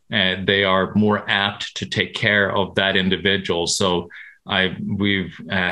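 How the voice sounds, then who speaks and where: Guy, kinda low voice but bright, stumbles and restarts a lot, lots of "you know". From Sweden. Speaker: medical professional guest. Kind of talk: interview.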